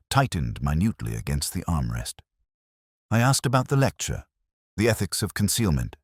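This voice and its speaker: Very deep-voiced detective narrator played by a man. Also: dry recording, clean studio sound, heavily acted. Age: middle-aged. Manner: measured, literary, understated. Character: male detective inspector narrating his case, brooding and observant. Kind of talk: scripted first-person detective narration, audio drama.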